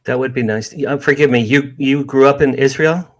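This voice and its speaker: A man's voice: medium pitch, moderate pace. A man hosting a conversational interview, putting in short responses and questions. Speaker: interview host.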